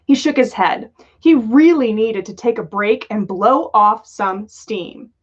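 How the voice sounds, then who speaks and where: Higher-voiced woman reading a story, dull audio. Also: slow pace. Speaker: podcast host reading fan fiction aloud. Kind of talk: animated story reading on a livestream.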